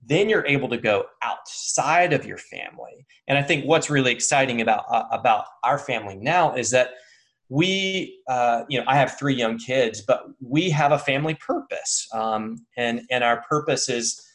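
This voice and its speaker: A man's voice: medium pitch, expressive, moderate pace, a bit bright. A man, probably in his thirties, speaking as an interview guest, thoughtful and earnest, often restarting his sentences with fillers. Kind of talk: reflective conversational interview.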